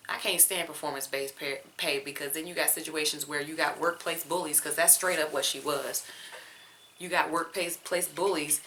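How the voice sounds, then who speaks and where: A woman's voice: sits low, pushed and bright, slight background noise. A young woman from Georgia with a Southern accent, a teacher chatting candidly to her viewers while she detangles her hair at home. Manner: candid, chatty, talks straight to viewers with casual slang. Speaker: teacher and vlogger.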